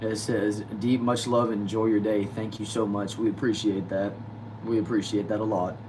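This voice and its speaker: A man's voice: on the low side, flat delivery, normal speed, noisy background. A nineteen-year-old man hosting a casual livestream, reading viewers' comments aloud and answering them loosely. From United States. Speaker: livestream host reading chat.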